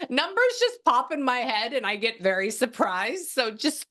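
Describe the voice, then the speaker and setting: A woman's voice: on the high side, sing-song, bright, forceful tone. A woman in her thirties, a psychic medium, giving a chatty, rambling reading over a video call. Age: 30s. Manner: chatty and rambling, quick bursts of surprise.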